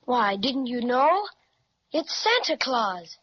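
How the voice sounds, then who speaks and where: A woman's voice: on the high side, very animated, takes it slowly, exaggerated voice. A woman voicing a friendly, gracious elf who welcomes guests, in an old radio serial. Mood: welcoming. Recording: muffled 1930s radio recording.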